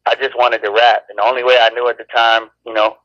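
Laid-back rapper on a dull line. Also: male voice, medium pitch, flat delivery, quick pace, fairly bright tone, no room sound. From born in Chicago, raised in Los Angeles. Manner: laid-back, rambling with many restarts. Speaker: rapper, interview guest. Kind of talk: casual interview talk.

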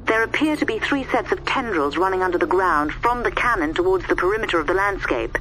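Bright, animated female science officer. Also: mid-range voice, fast, a little hiss or hum, rough recording. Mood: focused briefing. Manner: precise, explanatory briefing. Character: sharp, urgent female military science adviser. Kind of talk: scripted video game voice acting.

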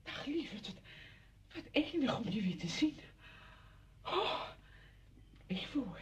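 A woman's voice: sits high, a little mellow, husky, muffled recording, exaggerated voice. An actress playing a chatty, apologetic married woman visiting a friend in a radio drama, effusive, rambling and flustered. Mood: breathless, gushing.

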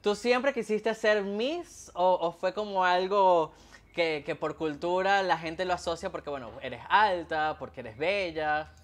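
A man's voice: high voice, a little slow. A Venezuelan man hosting a casual interview, chatty and playful, wearing headphones. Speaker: host. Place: home room. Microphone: headphones with a mic.

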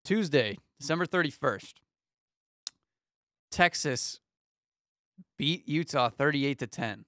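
A man's voice: on the high side, slow, no room sound. A young man in his twenties co-hosting a casual sports talk show in a small studio, running through game scores with plenty of ums. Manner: runs through scores in a steady rundown, many ums. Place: small studio with foam panels. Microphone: studio mic on arm, headphones.

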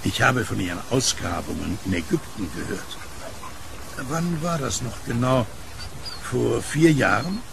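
Blind kiosk vendor, war veteran: gentle, lively range, gravelly edge, noisy outdoor ambience, horror radio play. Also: male voice, mid-range voice, measured pace. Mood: friendly. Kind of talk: audio drama acting.

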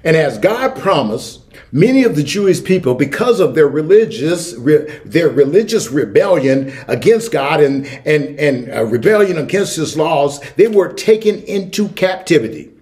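A man's voice: fairly high pitch, very animated, normal speed, a little mellow. A middle-aged American man preaching a sermon as a pastor, emphatic and given to repeating key phrases. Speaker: senior pastor. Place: church sanctuary, indoors.

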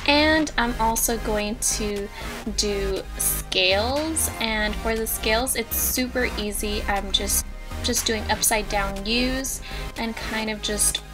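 Bubbly young woman talking over music. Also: mid-range voice. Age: young adult. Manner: bubbly, upbeat, step-by-step instructions. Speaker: DIY vlogger. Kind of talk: casual tutorial voice-over. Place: indoors in front of a brick wall. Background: background music.

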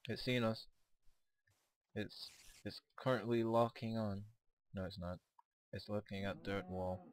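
A man with a hoarse, fading voice, chatting casually while playing a co-op game, often hesitant and stumbling over his words. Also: lowish voice, steady tone, a little slow. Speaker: co-op game player. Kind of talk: casual gameplay chat.

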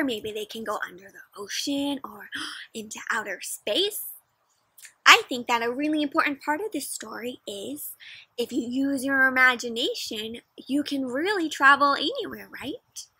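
A young woman doing an expressive, interactive picture-book read-aloud for children, putting questions to the listener. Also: sits high, husky, no room sound. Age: young adult. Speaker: children's story reader. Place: outdoors in a backyard with play structure.

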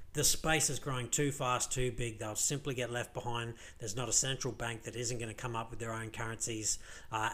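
Croaky, worn-out Australian man; casual rambling vlog commentary, lots of fillers. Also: medium pitch, fairly bright tone. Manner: rambling, filler-heavy, many restarts. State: croaky, tired voice. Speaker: crypto vlogger. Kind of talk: casual screen-share commentary.

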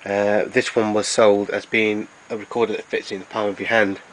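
Low-pitched man demonstrating gadgets, noisy recording. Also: level tone, moderate pace. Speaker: collector presenting his tape recorders. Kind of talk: informal product demonstration narration.

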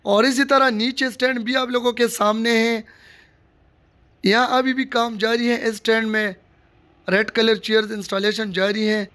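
A man's voice: extremely high pitch, little pitch movement, normal speed, sharp tone. A man, giving a casual walking tour of a stadium under construction to viewers, filmed on a handheld phone outdoors. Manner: addresses viewers directly, repetitive showing phrases. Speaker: vlogger giving construction updates. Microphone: handheld phone camera held by the speaker. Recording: faint outdoor noise.

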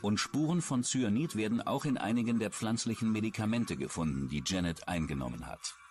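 Low-voiced, animated male documentary narrator. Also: a little fast, slight background noise. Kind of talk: scripted true-crime narration. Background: background music.

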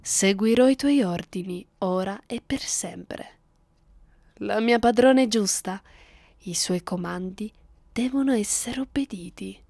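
A woman's voice: medium pitch, unhurried, creaky, darkish tone, no room sound. A woman playing a cruel, seductive vampire mistress in an ASMR roleplay, speaking commandingly and often close to a whisper. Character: domineering, cruel, seductive vampire mistress addressing her human captive.

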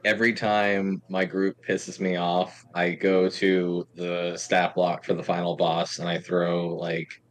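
A man with a fairly low voice speaks in casual, wry conversation. The sound is dry and close, with opinions given plainly. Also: no room sound. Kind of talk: casual chat.

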